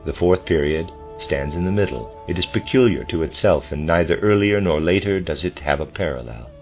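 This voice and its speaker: A man reading a translated lecture aloud like an audiobook, with measured, formal and deliberate phrasing. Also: sits low, expressive. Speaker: lecture reader. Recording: dull lo-fi recording with music behind. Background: music.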